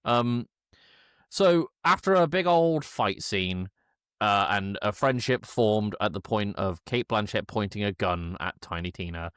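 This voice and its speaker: Male podcast co-host, slightly low voice, hesitant and self-correcting casual recap, very dry recording. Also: animated, a little slow, fairly bright tone, smooth, dry recording.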